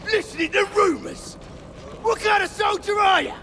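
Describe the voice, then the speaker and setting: A man's voice: very high-pitched, moderate pace, bright, forceful tone, long reverb tail, a little hiss or hum. A man playing a blunt, combative soldier in video game banter, barking in colloquial speech. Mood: scolding. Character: gruff, scolding, combative soldier.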